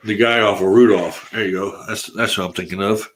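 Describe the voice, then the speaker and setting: A man hosting a live stream from home, with a stuffed-up, congested sound. His voice sits fairly low, and he rambles along in a laid-back way. The room gives it a roomy echo. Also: fairly even intonation, brisk, slight background noise. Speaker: livestream host. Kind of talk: casual live-stream chat.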